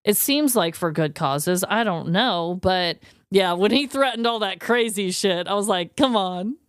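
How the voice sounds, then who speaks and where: A woman's voice: medium pitch, lively intonation, unhurried, smooth, no echo, clean studio sound. A woman co-hosting a podcast, telling a story in casual, slangy conversation and often acting out what people said. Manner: informal, slangy, acts out imagined dialogue. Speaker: podcast co-host telling a true crime story.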